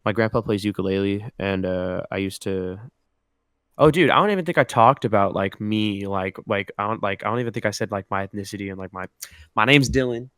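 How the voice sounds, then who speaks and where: A man's voice: on the low side, brisk, fairly bright tone, clear, resonant tone, no echo, studio quality. A young man in his twenties from Southern California co-hosting a podcast, rambling casually with slang and fillers. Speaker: podcast co-host. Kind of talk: casual chat.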